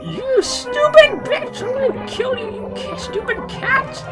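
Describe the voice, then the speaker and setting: Screeching falsetto cartoon villainess. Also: male voice, expressive, unhurried, over loud music. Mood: furious. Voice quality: shrill falsetto. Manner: screechy, scolding. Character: shrill, furious villainess with a violent temper. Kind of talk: improvised cartoon parody dub.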